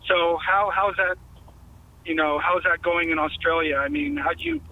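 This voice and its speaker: A man's voice: high voice, lively intonation, moderate pace. A man from New York calling into a radio show, rambling and hesitant with restarts. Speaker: caller. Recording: phone line.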